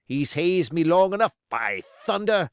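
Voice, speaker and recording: Guy putting on a gruff grumbly pirate sailor, pushed up higher, impatient, drawn-out emphatic words. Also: sing-song, no echo, clean studio sound, full character voice. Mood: impatient, greedy. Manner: emphatic, complaining seafaring dialect. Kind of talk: audiobook character voice.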